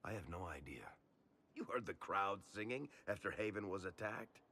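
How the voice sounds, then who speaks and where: An actor playing a wry, world-weary businessman and storyteller in a fantasy game, with a gravelly voice and a dry, conversational manner. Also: deep voice, animated, moderate pace, bright, forceful tone, exaggerated voice. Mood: earnest, wry. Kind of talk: naturalistic video game dialogue.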